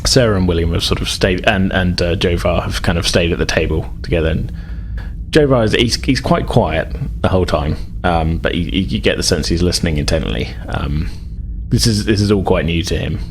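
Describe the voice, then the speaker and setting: A man narrating a tabletop game as game master, improvising in a casual, halting way. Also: sits low, slight background noise.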